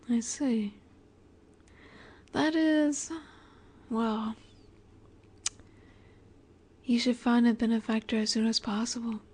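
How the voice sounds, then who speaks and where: Soft, slow-speaking vampire seductress, acted by a woman. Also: a little high. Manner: soft, breathy, measured, often dropping to a whisper. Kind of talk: intimate scripted audio roleplay.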